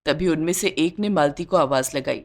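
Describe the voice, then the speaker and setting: A woman narrating a scripted cartoon moral story in an expressive storytelling manner. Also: deep voice, moderate pace.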